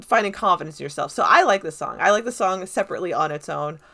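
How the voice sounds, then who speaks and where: A woman's voice: on the low side, lively intonation, quick pace, gravelly, sharp tone, soft background music. A woman giving casual, unscripted film commentary in a rambling, enthusiastic, conversational way. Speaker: video essayist reviewing a film.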